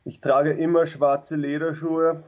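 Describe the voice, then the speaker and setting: A man's voice: on the high side, level tone, unhurried. Laconic man in his thirties, dull call audio. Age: 30s. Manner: dry, laconic answers. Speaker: guest. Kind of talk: casual interview over video call.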